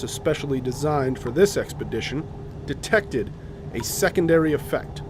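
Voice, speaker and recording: A man's voice: on the high side, noisy background, close to an everyday voice. An actor playing a serious male expedition officer, giving a firm, earnest briefing in a radio drama. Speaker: second in command of a polar expedition.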